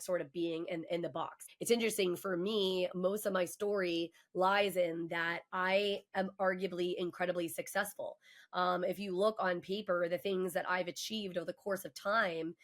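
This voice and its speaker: A woman in her forties, a guest on a podcast, telling her own story in a candid, emphatic and passionate way. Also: fairly low pitch. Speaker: mortgage professional. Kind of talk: casual podcast conversation.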